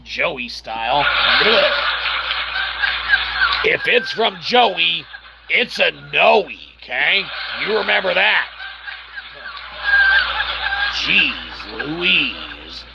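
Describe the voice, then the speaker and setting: A man giving casual video game commentary in a playfully cocky manner, talking as "we", with a gravelly voice. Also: a little high, expressive, drawn-out words, pauses often, heavy background noise. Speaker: gameplay host.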